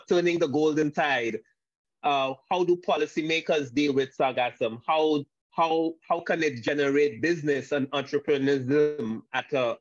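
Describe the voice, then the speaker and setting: A man from Saint Lucia gives a panel talk over a video call, halting, with frequent restarts and fillers. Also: on the high side, no echo. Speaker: guest speaker. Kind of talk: online panel presentation. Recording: dull lo-fi video call audio.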